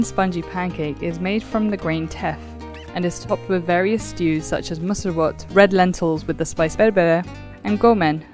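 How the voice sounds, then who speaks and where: A woman reading a scripted, measured voice-over narration. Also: on the low side, darkish tone. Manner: measured, explanatory. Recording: guitar music underneath.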